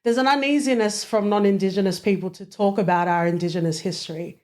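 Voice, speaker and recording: A woman's voice: medium pitch, steady tone, fast, darkish tone. A woman hosting a podcast, asking thoughtful questions in a chatty way with many fillers. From Australia. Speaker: podcast host. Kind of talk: casual interview questions.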